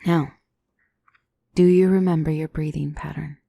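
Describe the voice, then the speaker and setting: A woman playing a gentle demonic tutor in a scripted ASMR roleplay, speaking softly and deliberately as a calm battle mentor. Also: low-pitched, vocal fry, dry recording, heavily acted. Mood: calm, instructive. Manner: measured, deliberate, soft-spoken, instructive.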